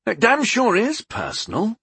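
A man voicing a young adult wizard son in an audiobook, earnest and questioning, quick to be startled or indignant. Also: mid-range voice, huge pitch swings, fairly bright tone, breathy edge, dry recording, exaggerated voice. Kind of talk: audiobook character dialogue.

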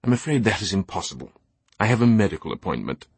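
A man's voice: low-pitched, sing-song, fast, husky, no room sound, exaggerated voice. A man voicing a jovial, posh older British knight in an audiobook, breezy and blustering with theatrical indignation. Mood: unamused.

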